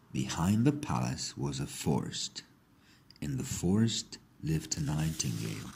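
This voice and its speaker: A man narrating a scripted fairy tale in a husky, gravelly voice, with measured, expressive storytelling. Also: sits low, slight background noise.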